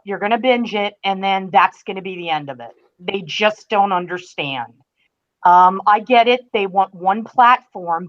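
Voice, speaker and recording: Dull lo-fi recording of an emphatic woman. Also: mid-range voice. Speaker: guest TV critic on a fan podcast. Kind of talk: casual podcast discussion. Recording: dull, lo-fi call audio.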